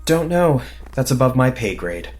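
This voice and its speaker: A man playing a cocky, foul-mouthed covert government agent in a horror audio drama, wisecracking and excitable. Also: medium pitch, normal speed, soft background music, natural voice. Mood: wry, conspiratorial.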